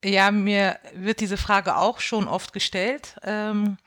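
A woman in her thirties from Germany, talking in a thoughtful, steady way with some fillers. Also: mid-range voice, takes it slowly. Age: 30s. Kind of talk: casual talk on a video call.

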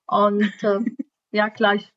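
A woman, speaking in a hesitant, halting way. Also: mid-range voice, monotone, fairly bright tone, dull audio. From Syria. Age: 30s.

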